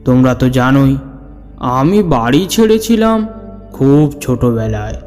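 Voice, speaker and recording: A man narrating a scripted ghost story in a dramatic, deliberate way, with measured pauses. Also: medium pitch, lively intonation, a little mellow. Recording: long reverb over the voice. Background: background music.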